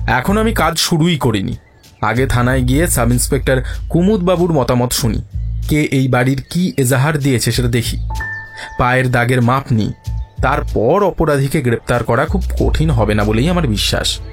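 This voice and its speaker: Casting: male actor for sharp, self-assured sleuth, middle register, calm reasoning, audio mystery with musical bed. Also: medium pitch, lightly acted. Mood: calm, confident. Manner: measured, reasoning, dryly ironic. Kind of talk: dramatised Bengali detective audio story. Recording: music behind the voice.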